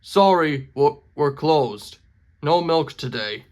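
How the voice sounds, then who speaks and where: A man's voice: a little high, lively intonation, slow, a little mellow. A man voicing demanding cows in a children's storybook, reading out their notes in a firm, emphatic way.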